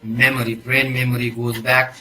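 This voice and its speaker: A man's voice: medium pitch, little pitch movement, normal speed, a crowd in the background, low-quality recording. A man from Nepal with a Nepali accent, giving hesitant, conversational answers as an interview guest, often adding "you know". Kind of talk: casual interview talk.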